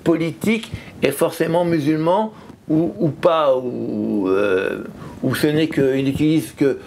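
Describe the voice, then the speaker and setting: A man's voice: on the high side, lively intonation, slow pace, vocal fry, darkish tone, slight background noise. A man interviewing a guest, asking hesitant questions and rephrasing them as he goes.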